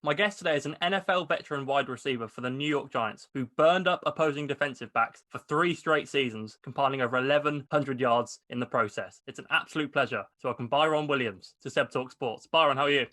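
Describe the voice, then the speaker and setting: Brisk male podcast host with long, eager questions and a dry, close mic sound. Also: mid-range voice, bright, forceful tone, no echo. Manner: quick, upbeat delivery with long question setups. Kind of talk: scripted intro, then interview questions.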